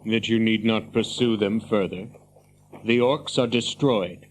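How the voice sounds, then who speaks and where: A man's voice: lowish voice, fairly even intonation, unhurried, natural voice, rough recording. Man playing stern horse lord captain, fantasy audio drama, takes his time, formal, bit of noise behind. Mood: wary, solemn. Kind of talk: fantasy radio drama acting.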